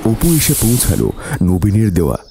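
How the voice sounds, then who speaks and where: Deep, very animated male storyteller. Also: normal speed, gravelly, background noise. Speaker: narrator. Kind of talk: scripted dramatic story narration.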